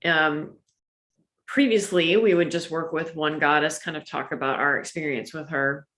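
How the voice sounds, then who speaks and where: Bright-voiced woman in an echoey room. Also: low-pitched, fairly even intonation, normal speed, slight background noise. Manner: enthusiastic, talks of energy and chakras. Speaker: podcast co-host. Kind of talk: casual spiritual chat.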